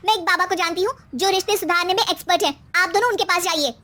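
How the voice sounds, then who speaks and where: A woman voicing an exasperated young married daughter with an insistent manner in an animated story. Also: extremely high pitch, quick pace, pitched above the performer's own voice. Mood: persuading.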